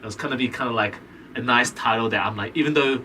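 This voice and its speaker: A man's voice: mid-range voice, quick pace, bright, forceful tone. A man from New Zealand with a New Zealand accent, a podcast guest in casual, rambling chat who laughs easily. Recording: distant mic, faint background noise.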